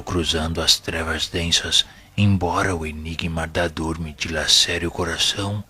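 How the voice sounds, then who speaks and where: A man performs a humble, wise spirit minister in a Brazilian audio drama, with music behind him. His male voice is very deep and unhurried, with a Brazilian accent. He teaches like a gentle, solemn father. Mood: grave, compassionate. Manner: solemn, gentle, measured, paternal. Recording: voice over background music.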